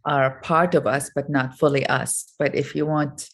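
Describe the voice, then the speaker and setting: A middle-aged woman from Iraq, a guest on an interview, speaking thoughtfully with many pauses and fillers. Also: very deep voice, steady tone, gravelly. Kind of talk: reflective interview talk.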